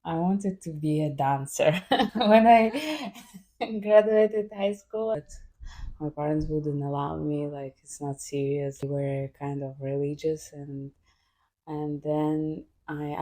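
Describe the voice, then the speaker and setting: A young woman from Kazakhstan, a podcast guest telling her story in a halting way with fillers. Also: sits low, mellow. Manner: halting, with fillers and frequent laughs. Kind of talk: casual interview answers.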